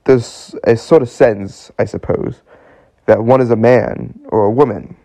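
Radio drama: bewildered twenty-something man actor, hesitant and earnest, creaky voice, close dry sound. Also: medium pitch, steady tone, a little slow, dark tone, no room sound. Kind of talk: radio play acting.

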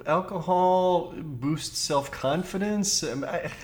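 A man's voice: a little high, slow, darkish tone. A man co-hosting a science podcast, skeptical and joking while he presents a study. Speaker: podcast co-host. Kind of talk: casual science podcast chat.

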